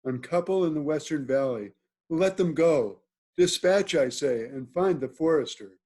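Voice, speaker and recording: Man playing a stately duke, slow and measured. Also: on the high side, expressive, a little mellow. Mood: commanding. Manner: formal, declamatory. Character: noble duke, commanding and at ease, ordering his hunt. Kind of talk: Shakespeare table read, measured verse delivery. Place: video call.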